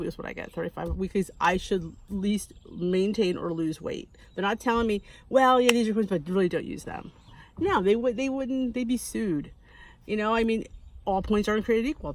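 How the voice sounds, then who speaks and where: Candid rambling woman in her fifties. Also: mid-range voice. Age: 50s. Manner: candid, rambling, self-deprecating, with frequent restarts. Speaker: weight-loss vlogger. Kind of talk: casual talking-to-camera monologue.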